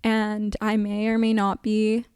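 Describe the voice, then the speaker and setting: A woman in her twenties hosting an advice podcast, chatting casually with a level, monotone delivery and lots of "like" fillers. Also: mid-range voice, a little slow, clear, resonant tone, no room sound, clean studio sound. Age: 20s. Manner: chatty, says she sounds monotone, frequent "like" fillers. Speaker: podcast host.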